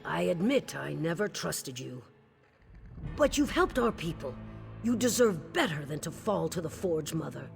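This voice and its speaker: A woman's voice: sits low, very animated, measured pace, gravelly, faint music behind, full character voice. A woman playing a devoted orc warrior queen in a video game, speaking solemnly, earnestly and with resolve. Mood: stern, reluctant. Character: devoted orc warrior queen, king's sworn shield-wife. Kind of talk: scripted video game dialogue.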